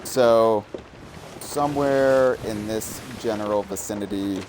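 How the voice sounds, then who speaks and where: A man's voice: fairly low pitch, takes it slowly, background noise. A man co-hosting a game stream, casually chatting and reading game text aloud.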